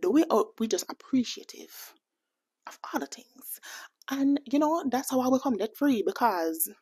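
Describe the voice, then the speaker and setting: Animated, laughing American woman vlogger. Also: fairly high pitch, normal speed, husky. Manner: animated, laughing, playful asides to viewers; slips into a Jamaican accent for bits. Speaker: budgeting vlogger. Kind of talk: casual chatty vlog.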